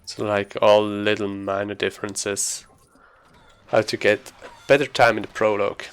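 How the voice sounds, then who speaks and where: A man's voice: on the low side, little pitch movement, normal speed, clean tone. A man giving casual, explanatory gameplay commentary as a speedrunner.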